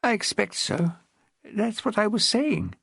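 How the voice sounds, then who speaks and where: A man voicing a frail, refined old woman over seventy who talks in a gently fussing, well-bred way. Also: high-pitched, sing-song, unhurried, creaky, slightly rough, dry recording, voice pushed up, heavily acted. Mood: chatty.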